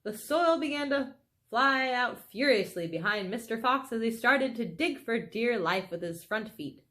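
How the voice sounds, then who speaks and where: Woman reading a kids book out loud, very animated, takes her time. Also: fairly high pitch, a little slow. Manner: animated, dramatic, with exclaimed asides to listeners. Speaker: storybook reader. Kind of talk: expressive children's storybook narration.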